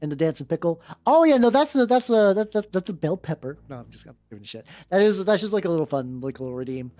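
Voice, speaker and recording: A woman's voice: lowish voice, animated, fast, warm tone. A woman hosting a livestream, chatting casually and reading aloud, often stammering, repeating words and breaking off to comment. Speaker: vtuber streamer host. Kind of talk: casual livestream chat mixed with reading fan fiction aloud. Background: background music.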